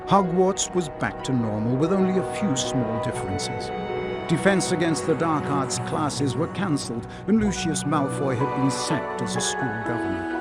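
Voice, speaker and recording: An actor narrating a wizard school tale in a video game, in a scripted storybook style. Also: male voice, mid-range voice, expressive, moderate pace, natural voice. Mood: storytelling. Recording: music behind the narration. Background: music.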